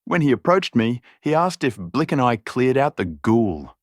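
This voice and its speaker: A man's voice: medium pitch, very animated, moderate pace, no room sound, clean studio sound, voice pushed up, lightly acted. A man voicing an earnest, uneasy young male wolf in an audiobook fantasy story, speaking in an urgent, questioning way. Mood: uneasy, confiding.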